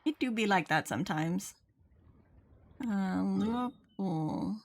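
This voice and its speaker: A woman's voice: medium pitch, lively intonation, slow pace. A woman livestreaming a game, chatting casually with viewers as she plays. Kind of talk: casual chat while playing, reading viewers' comments. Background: game music.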